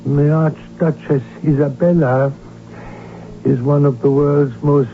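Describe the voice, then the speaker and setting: An actor in a radio drama playing a cautious royal doctor and confidant, formal and precise in speech. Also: male voice, fairly high pitch, steady tone, takes it slowly, a little hiss or hum. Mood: cautioning. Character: cautious, concerned male royal physician and confidant. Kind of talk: radio drama acting and in-character narration. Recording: dull vintage radio broadcast, faint music.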